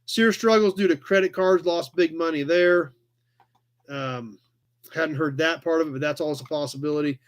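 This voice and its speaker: Higher-voiced American man chatting live. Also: normal speed. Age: 40s. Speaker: live chat show host. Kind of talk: casual rambling livestream chat, reading and answering viewer comments.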